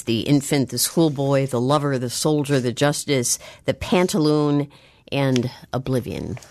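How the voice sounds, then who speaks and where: A woman hosting a public radio call-in talk show, measured and slow when reading out details. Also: very deep voice, darkish tone, dry recording. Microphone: studio mic.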